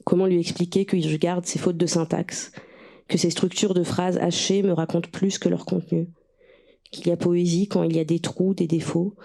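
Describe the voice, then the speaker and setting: A woman author from Russia, a native Russian speaker, with a gravelly, dark and mellow voice. Her pitch stays flat and even as she reads her own writing steadily, then turns hesitant and searching with many pauses and fillers when answering questions.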